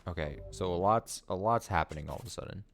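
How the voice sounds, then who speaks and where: Deep-voiced man casually streaming a game. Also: fast talker, gravelly, slight background noise. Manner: chatty, excitable, reads item text aloud. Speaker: streamer playing a video game. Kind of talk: casual gameplay commentary.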